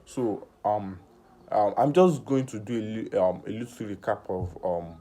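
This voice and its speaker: A nineteen-year-old man talking through a personal vlog in earnest self-talk, halting with many fillers and restarts. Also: fairly low pitch, warm tone. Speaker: vlogger.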